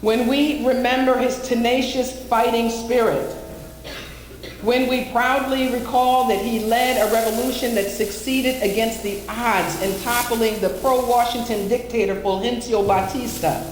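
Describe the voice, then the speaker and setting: A woman with a fairly high voice hosts a memorial tribute as emcee. She speaks in earnest, formal oratory with halting pauses. The live hall recording is reverberant, echoey and noisy. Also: steady tone. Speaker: master of ceremonies, co-moderator. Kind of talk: public speech at a memorial tribute. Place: large packed hall at a live event.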